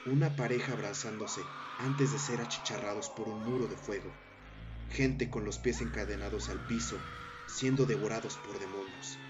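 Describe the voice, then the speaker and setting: A man with a fairly low voice narrates a horror story. His telling is measured and dramatic. The sound is a little dull, with loud music running behind him. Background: loud background music.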